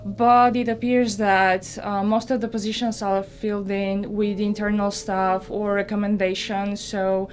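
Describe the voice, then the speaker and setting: A woman's voice: medium pitch, flat delivery, unhurried, few pauses. A woman from Bulgaria with a Bulgarian accent, giving a personal account in a documentary interview. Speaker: job seeker interviewee. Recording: music playing behind the voice.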